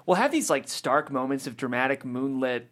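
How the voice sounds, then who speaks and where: A woman's voice: very deep voice, expressive, normal speed. A woman interviewing a writer on a panel in a casual, conversational style.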